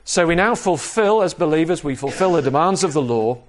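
A man preaching an expository sermon in a measured, explanatory manner, repeating key phrases for emphasis. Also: on the high side, animated, a little hiss or hum. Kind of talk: sermon, expository Bible teaching.

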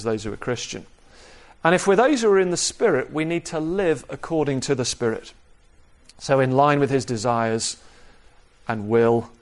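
A man preaching an expository sermon in a measured, explanatory manner, repeating key phrases for emphasis. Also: mid-range voice. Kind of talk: sermon, expository Bible teaching.

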